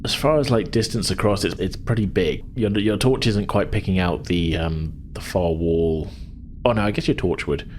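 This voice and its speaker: Casting: male game master, deep pitch, informal and hesitant improvised scene-setting, faint background noise. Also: moderate pace, darkish tone. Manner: hesitant, loose, informal phrasing. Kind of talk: improvised tabletop game narration.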